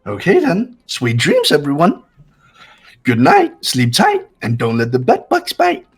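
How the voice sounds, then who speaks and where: A man voicing a sinister robotic bear mascot in a fan-voiced game, melodramatic and mock-sweet with drawn-out phrasing. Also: on the high side, huge pitch swings, husky, faint music behind, full character voice. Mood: mock-sweet announcing. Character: sinister theatrical robotic bear mascot, male, gleefully cruel.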